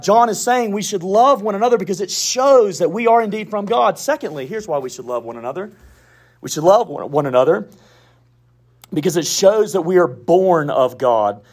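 A man preaching a sermon in a Southern US accent, with emphatic declarations, quiet asides and folksy humor. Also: high-pitched, expressive, moderate pace, slightly rough. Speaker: pastor.

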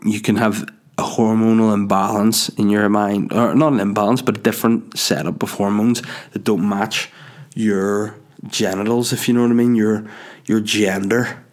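A man's voice: lowish voice, steady tone, moderate pace, a little mellow. A man giving rambling, crude, joking commentary as a host. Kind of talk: casual commentary.

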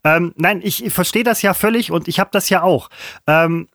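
A man's voice: sits high, normal speed, a bit bright, no room sound, studio quality. A man co-hosting a podcast, teasing and placating his partner while talking over him. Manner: teasing and placating, talks over the other host. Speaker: podcast co-host. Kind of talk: casual podcast banter.

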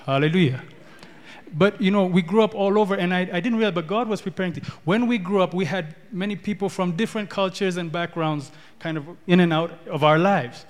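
A man's voice: high voice, a little fast. A man from Ethiopia preaching a sermon, repeating words and building in rising declarations. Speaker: pastor. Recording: long hall reverb.

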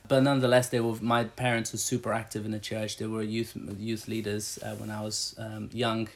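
A man's voice: on the low side, completely flat delivery, brisk. A man in his thirties who grew up in Brazil, rambling chattily through interview answers about his church job, with many fillers and restarts. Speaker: church staff member.

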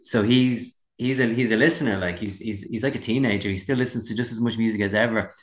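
Irish male musician in interview, lowish voice, candid and rambling, casual conversational delivery. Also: steady tone, fast talker. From Dublin, Ireland. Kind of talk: casual chat.

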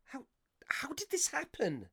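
An actor playing a practical-minded Victorian gentleman narrating a ghost story in a measured, literary way for a radio play. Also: very high voice, wildly swooping pitch, vocal fry, no echo, clean studio sound, heavily acted. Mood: alarmed.

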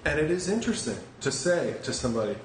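A man's voice: a little high, big pitch swings, quick pace. A man in his forties with a nasal voice and a cold, talking bluntly and emphatically as a guest in a casual podcast conversation. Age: 40s. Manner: blunt, emphatic, swears freely. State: has a cold. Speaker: singer of a hardcore band, podcast guest. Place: upstairs room of a restaurant. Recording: echoey room with faint background noise.

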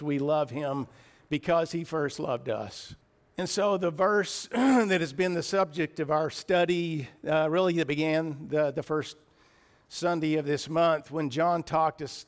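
A man with a raspy voice preaching a sermon in church, earnest and a little emotional, often clearing his throat. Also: on the high side.

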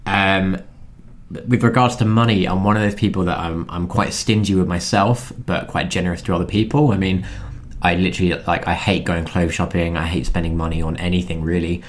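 A young man, co-hosting a podcast in a relaxed, joking way with lots of fillers. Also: deep voice, fairly quick, a little hiss or hum. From London. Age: 20s. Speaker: podcast co-host. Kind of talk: casual podcast chat.

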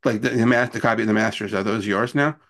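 A middle-aged American man, interviewing casually in an informal, halting, agreeable way. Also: lowish voice, fairly even intonation, fairly bright tone. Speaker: interviewer, host.

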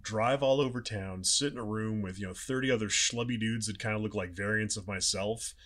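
A man in his forties with a low voice, a voice actor chatting and telling stories in a self-mocking way. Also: steady tone, fairly quick, fairly bright tone. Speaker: voice actor guest. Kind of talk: casual storytelling chat.

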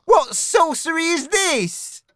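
A woman's voice: on the high side, very animated, a little slow, a bit bright, no echo, clean studio sound. A woman voicing a proud, easily wounded little male dog who splutters huffy protests in a dramatised children's audiobook. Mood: flustered, hurt.

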